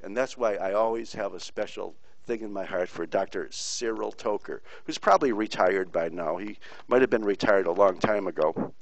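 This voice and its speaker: A man's voice: lowish voice, normal speed, gravelly, few pauses, no echo. A middle-aged man giving an informal online pathology lecture, rambling through stories and speaking bluntly. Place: teaching from Puerto Rico.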